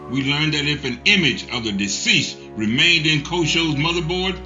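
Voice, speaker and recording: A man giving scripted narration for a radio drama, recapping the story in a measured, storytelling manner. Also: fairly high pitch. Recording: dull lo-fi recording with music underneath.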